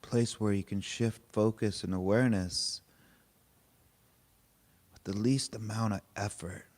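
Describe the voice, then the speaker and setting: A man leading a guided meditation, speaking in short, unhurried, gently informal phrases with pauses between them. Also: on the low side, steady tone. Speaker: meditation teacher. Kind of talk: guided meditation, spoken softly to a group.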